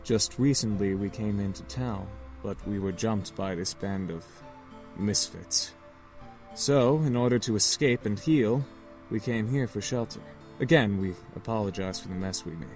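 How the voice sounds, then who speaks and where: An actor playing a polite, composed young incubus leader in a visual novel, speaking formally and courteously. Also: male voice, on the low side, moderate pace, darkish tone, faint music behind, lightly acted.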